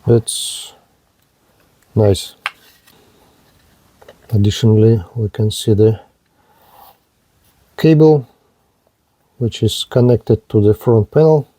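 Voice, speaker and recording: A man demonstrates a product in a soft-edged, mellow voice. He speaks very slowly, in short and halting phrases. His delivery is low-key and matter-of-fact, like a practical hands-on review. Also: steady tone, no room sound.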